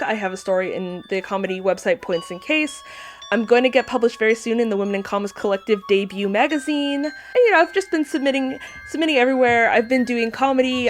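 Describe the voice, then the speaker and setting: A woman chatting casually as a voice actor guest on a podcast, with an animated, jokey manner. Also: a little high. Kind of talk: casual chat.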